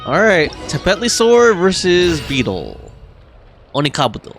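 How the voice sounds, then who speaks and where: A woman gives casual playthrough commentary and reads game text aloud. Her voice is low but swings very widely in pitch, with clear game music behind it. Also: big pitch swings, measured pace. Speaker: gaming streamer. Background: video game music.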